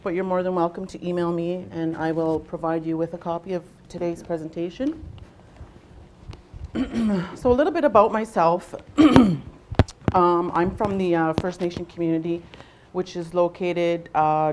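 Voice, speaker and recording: A young adult woman from Southwestern Ontario leading a workshop, speaking loudly to a room without a microphone and often pausing on "um" and "uh". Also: on the low side, slight background noise. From Southwestern Ontario, Canada. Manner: loud voice, unamplified, frequent ums and uhs. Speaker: workshop facilitator, nurse navigator. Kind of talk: presentation to a workshop audience.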